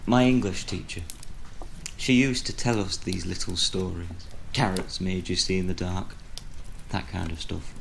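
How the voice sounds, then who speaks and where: A man playing an older, retired writer of horror stories in an audio drama, speaking in a measured, wry and brooding way. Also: low-pitched, steady tone, vocal fry, a little hiss or hum, poor audio. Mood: wry, reflective. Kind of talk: audio drama dialogue and storytelling.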